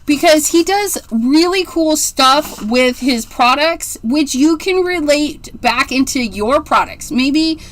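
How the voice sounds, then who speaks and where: Slow, chatty woman in her forties. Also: high voice, lively intonation, a bit bright. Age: 40s. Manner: chatty, rambling, gushing over cute things. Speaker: card-making livestream host. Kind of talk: casual livestream craft demo.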